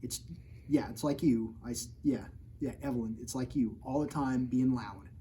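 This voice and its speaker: Playful man reading to kids, mellow voice. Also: medium pitch, moderate pace, a little hiss or hum, rough recording. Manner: playful, jokey, mock-outraged, talking straight to children. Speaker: children's author reading his own book. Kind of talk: storytime read-aloud with playful asides to kids.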